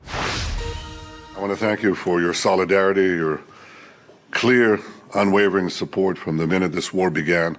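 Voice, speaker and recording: A man giving measured, earnest diplomatic remarks as a statesman. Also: sits low, steady tone, fairly bright tone, soft background music.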